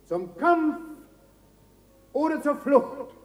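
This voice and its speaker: Pompous official, extremely high declaiming voice, drawn-out phrases, echoing hall, 1960s radio drama. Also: male voice, sing-song, heavily acted. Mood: grandiose, proclaiming. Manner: pompous, declamatory, halting for effect. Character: pompous male Venetian official proclaiming to the senate. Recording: long reverberant echo of a hall.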